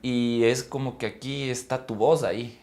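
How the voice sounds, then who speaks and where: A man's voice: medium pitch, steady tone, normal speed, darkish tone. A man, an actor, talking casually in an interview.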